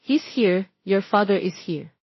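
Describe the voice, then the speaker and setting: Lady reading as young mom in a love story, guarded but gentle, dry slightly dull audio. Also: mid-range voice, expressive, measured pace, slightly rough, no room sound. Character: guarded young mother, gentle with kids, evasive. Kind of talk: romance audio story dialogue.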